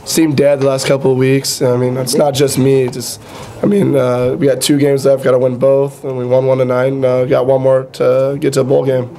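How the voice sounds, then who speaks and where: A man's voice: mid-range voice, monotone, brisk, a crowd in the background. A young man answering reporters at a postgame press conference as a college quarterback. He talks in a laid-back, blunt, self-critical way and is losing his voice. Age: young adult.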